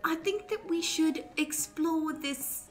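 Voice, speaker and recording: A woman's voice: very high voice, slow pace, soft background music. A woman in an improvised comedy radio play, acting an eager, scheming daughter who hesitates and seeks her mother's approval. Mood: earnest.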